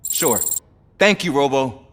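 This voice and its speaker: A man's voice: mid-range voice, animated, slow, a bit bright. An actor playing an eager, defensive and put-upon male rookie policeman in a video game. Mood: grateful. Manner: earnest, defensive.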